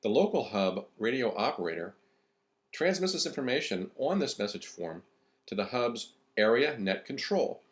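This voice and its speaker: A man's voice: mid-range voice, very animated, normal speed. A man reading scripted narration for an instructional video.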